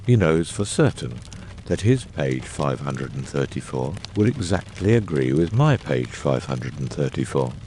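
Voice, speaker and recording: A man playing a brilliant, exacting, eccentric detective in a dramatised audiobook, speaking with precise, erudite phrasing and deliberate pauses. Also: rumbling low, very animated, mellow, a little hiss or hum, exaggerated voice. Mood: analytical. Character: brilliant, eccentric middle-aged male detective, exacting and theatrical.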